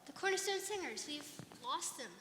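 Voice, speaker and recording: A woman's voice: high voice, animated, moderate pace, a bit bright. A woman playing a fretful, exasperated backstage worker in a comic radio show, recorded in an echoey hall with noise. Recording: echoey hall, noisy background.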